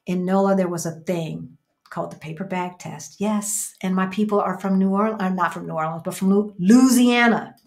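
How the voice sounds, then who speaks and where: A woman's voice: medium pitch, moderate pace, a little mellow. An American woman in her fifties co-hosting a casual livestream from a room at home, talking emphatically and repeating phrases for emphasis. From United States. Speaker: co-host of a livestream. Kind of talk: casual livestream chat, reading out viewer comments. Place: small indoor room at home.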